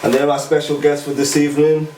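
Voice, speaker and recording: A man's voice: fairly high pitch, little pitch movement, fast talker, a little mellow. A man hosting a podcast, laid-back and chatty. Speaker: podcast host. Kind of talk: casual chat. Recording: echoey room, mic far off, noisy.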